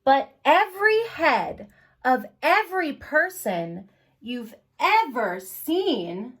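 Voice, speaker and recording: A woman hosting story time for young children, speaking in an animated, encouraging way straight to her little listeners. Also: high-pitched, takes it slowly. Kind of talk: reading and singing aloud to young children.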